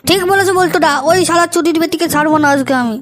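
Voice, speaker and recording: A man voicing a hapless, hot-headed young troublemaker in an amateur comedy cartoon, whiny and excitable, with a raised-pitch effect. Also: very fast. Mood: indignant.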